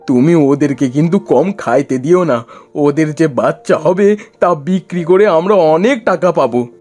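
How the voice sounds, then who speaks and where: A man's voice: a little high, a little slow, darkish tone, faint music behind. A man playing an earnest, eager village husband and small trader in a cartoon story. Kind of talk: Bengali cartoon story voice acting.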